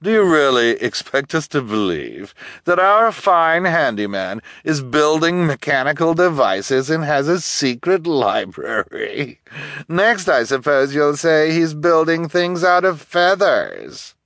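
Man playing pompous, scornful officer, pitched high. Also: sing-song, slow pace, a bit bright, no echo, studio quality, exaggerated voice. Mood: scornful disbelief. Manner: incredulous, emphatic. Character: pompous, indignant police officer, scornful and disbelieving. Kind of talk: audiobook character voice.

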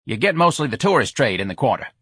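Male narrator as gruff vendor boss, blunt, exasperated, short fuse, raised pitch, audiobook character. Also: very animated, fast, a bit bright, no echo, studio quality. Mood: grudging, impatient.

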